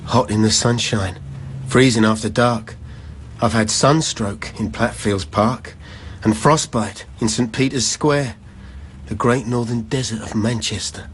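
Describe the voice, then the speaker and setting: A man's voice: medium pitch, fairly even intonation, a little slow, a little hiss or hum, natural voice. An actor playing a weary, dogged labourer who sleeps in his van, in a rhythmic, confessional verse monologue for radio drama. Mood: bleak. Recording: music behind the voice.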